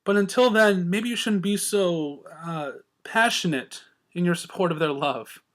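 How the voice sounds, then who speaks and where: A man's voice: high voice, unhurried, a bit bright. A man voicing a bookish, sensible young female unicorn who reasons in an exasperated way, in a cartoon fan story. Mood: weakly pleading. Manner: curt, pleading, reasoning.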